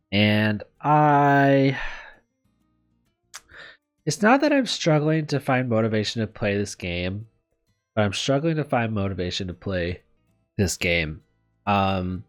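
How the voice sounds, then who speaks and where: A man talking casually as a let's player, rambling hesitantly with sighs and trailing thoughts. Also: on the low side. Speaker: let's player VTuber host. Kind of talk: casual rambling vlog commentary.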